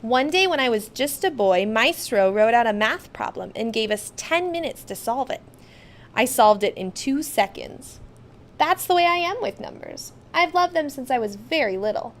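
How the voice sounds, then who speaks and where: A woman reading a children's storybook aloud as first-person narration, expressive and emphatic. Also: on the high side, moderate pace. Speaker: storybook reader.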